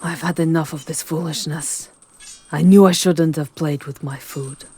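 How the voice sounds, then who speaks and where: A woman's voice: deep voice, fairly even intonation, a little mellow, slightly rough. A woman playing a powerful, centuries-old vampire countess, haughty, possessive and lovelorn, speaking theatrically in a dramatic ASMR roleplay. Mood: wounded disdain. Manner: slow, imperious, theatrical delivery.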